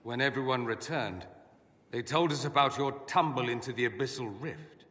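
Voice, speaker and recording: An actor playing a witty, self-assured mage scholar in a video game, with an arch, sardonic, eloquent way of talking. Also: male voice, mid-range voice, moderate pace, fairly bright tone, close to an everyday voice. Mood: concerned, urgent. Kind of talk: video game cutscene acting. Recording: long hall reverb.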